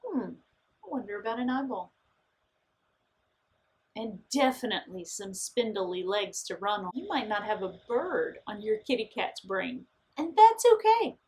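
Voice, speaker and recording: A woman's voice: mid-range voice, sing-song, measured pace, a little mellow, poor audio. A woman art teacher guiding children step by step through a drawing and painting lesson, speaking in simple, encouraging, reassuring phrases.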